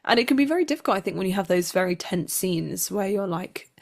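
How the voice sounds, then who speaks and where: A woman's voice: medium pitch, lively intonation, fast talker, gravelly. A woman speaking casually as an actor being interviewed, reflective and self-deprecating.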